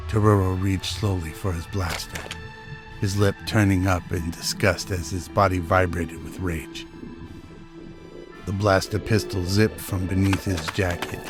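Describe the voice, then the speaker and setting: A man with a low voice delivers scripted narration for a radio drama. He works as the storyteller, and music plays clearly behind his words.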